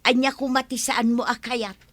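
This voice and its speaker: A woman acting a kind-hearted older village neighbour, gentle and forgiving, with an Ilocano accent in a comedy radio drama. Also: on the high side, steady tone, moderate pace. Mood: reasonable. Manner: gentle, forgiving, matter-of-fact.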